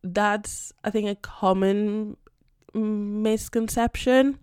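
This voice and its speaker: A woman's voice: mid-range voice, steady tone, very slow, darkish tone, clean tone, no echo, studio quality. A young woman from Spain, a native Spanish speaker, chatting casually and a bit rambling on her podcast, full of fillers. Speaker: podcast host and English teacher. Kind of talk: casual solo podcast chat.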